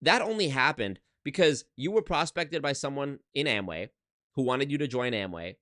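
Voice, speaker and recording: A man's voice: mid-range voice, lively intonation, normal speed, fairly bright tone, no echo, studio quality. A man in his twenties, a YouTuber talking casually on a livestream from his apartment into a desk microphone, emphatic and swearing, often restarting his sentences. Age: 20s. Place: one-bedroom apartment.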